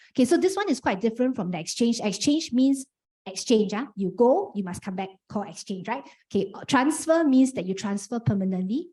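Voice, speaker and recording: A woman's voice: mid-range voice, dark tone. A woman presenting a programme briefing, explaining step by step with many "okay" fillers. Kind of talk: information briefing.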